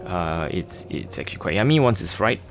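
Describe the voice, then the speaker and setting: A middle-aged man from Malaysia, talking casually through a sketching and watercolor tutorial with many fillers and pauses. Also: deep voice, very animated. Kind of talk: relaxed tutorial narration.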